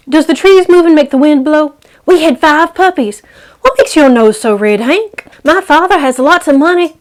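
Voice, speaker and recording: A woman's voice: sits high, pitched above the performer's own voice. A woman voicing a bold, boastful ten-year-old boy in a story reading, blurting out chatter with childish grammar.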